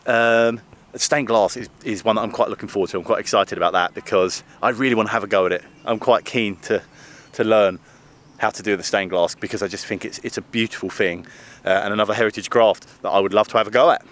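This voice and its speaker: A British man with a hoarse, fading voice, chatting enthusiastically to a handheld camera as he walks through a garden. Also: fairly low pitch, steady tone, fast, fairly bright tone. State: hoarse, losing his voice. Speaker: vlogger and TV presenter. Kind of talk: casual walk-and-talk vlog. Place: outdoors in a large garden.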